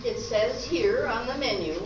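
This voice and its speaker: A woman's voice: a little high, lively intonation, unhurried, highs cut off. A woman playing a complaining restaurant diner in an amateur comedy sketch, recorded from a distance in a noisy, echoey room.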